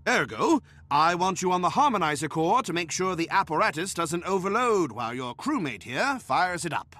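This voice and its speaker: A man's voice: high-pitched, big pitch swings, moderate pace, sharp tone, full character voice. A man voicing a pompous, exacting small gnomish inventor who leads a research team in a video game, speaking precisely and formally. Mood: officious, businesslike. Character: pompous, exacting male asura inventor leading a research krewe. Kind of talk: video game cutscene voice acting.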